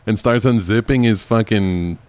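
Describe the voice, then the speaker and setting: A man with a low voice chats casually as a podcast co-host. He recounts movie scenes for laughs, bluntly and with plenty of swearing. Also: moderate pace, a little mellow, dry recording. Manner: blunt, heavily profane. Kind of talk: casual comedic movie-review chat.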